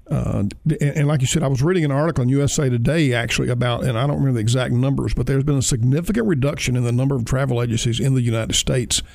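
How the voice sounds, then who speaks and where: A man's voice: medium pitch, creaky, a little mellow, no echo. A man hosting a radio interview, talking casually and often stammering and repeating words. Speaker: radio show host.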